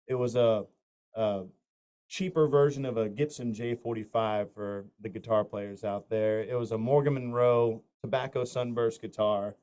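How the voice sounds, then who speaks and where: A man's voice: on the low side, steady tone, normal speed, warm tone, clean tone. A man from north Florida, a country singer, talking casually in an interview and telling long stories full of fillers and restarts. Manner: rambling anecdotes with many fillers and restarts.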